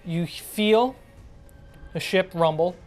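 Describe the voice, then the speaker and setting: A woman's voice: on the low side, slow, a little mellow, soft background music. A woman narrating a tabletop horror game as game master in an informal, sweary way. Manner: profane, informal.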